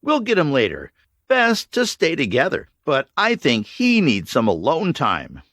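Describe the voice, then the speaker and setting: A man voicing a tired, determined woman who leads a group of children through a ruined city, speaking in steady, encouraging commands. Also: on the high side, a little slow, no echo, voice pushed up, full character voice.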